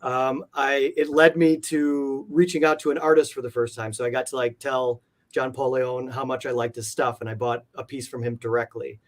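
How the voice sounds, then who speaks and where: Guy getting interviewed, medium pitch, rambly casual storytelling, says like a lot. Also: level tone, moderate pace, hardly any pauses. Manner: informal, meandering, frequent "like". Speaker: interview guest, comic art collector.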